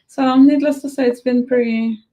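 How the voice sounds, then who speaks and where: A woman's voice: high voice, fairly even intonation, moderate pace, dark tone. A woman from Moldova, native in Romanian, speaking English with a Moldovan accent as a therapist guest, thoughtful and full of fillers. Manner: thoughtful, many fillers like "like" and "you know". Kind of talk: casual panel talk.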